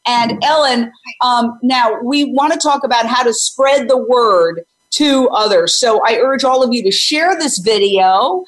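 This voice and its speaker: High-voiced, energetic woman in her sixties. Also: unhurried, poor audio. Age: 60s. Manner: emphatic, highly energetic, asks and answers own questions. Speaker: host; former news reporter and animal rights activist. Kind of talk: energetic interview and advocacy talk.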